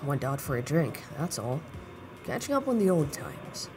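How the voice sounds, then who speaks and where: An easygoing male syndicate veteran, played by an actor, with an animated, swooping delivery. He sounds amused and conversational, teasing like an old friend in an audio roleplay with music clearly underneath. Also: a little high, normal speed. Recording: music playing clearly behind the voice.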